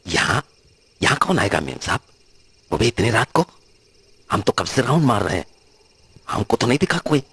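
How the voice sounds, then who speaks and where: Male actor as concerned rustic servant in a horror audio drama, respectful and folksy, pitched above a deep natural register. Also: very animated, normal speed, pauses often, a bit bright, slightly rough, heavily acted. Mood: curious, respectful. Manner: respectful, rustic colloquial Hindi phrasing.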